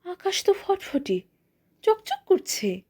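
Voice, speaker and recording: Fretful village wife, high and scolding, woman reader doing the voice. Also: sing-song, slow, dark tone, exaggerated voice. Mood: doubting.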